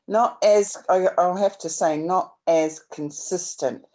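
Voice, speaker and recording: Woman participant, very low mellow voice, hesitant and agreeable in casual conversation. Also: slow. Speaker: participant in a group reading session. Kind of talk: casual chat.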